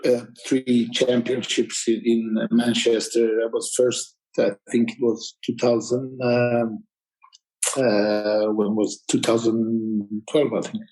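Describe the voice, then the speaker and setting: A man from Croatia with a Croatian accent, a tired guest coach speaking haltingly on a video call. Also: medium pitch, little pitch movement, darkish tone, a little hiss or hum, low-quality recording. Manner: halting, many fillers and restarts. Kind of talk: casual conversation.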